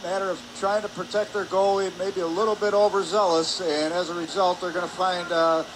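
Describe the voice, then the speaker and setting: A man giving measured, explanatory color commentary during a live hockey broadcast. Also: sits high, little pitch movement. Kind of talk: live sports analysis. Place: indoor ice hockey arena. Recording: noisy arena ambience behind.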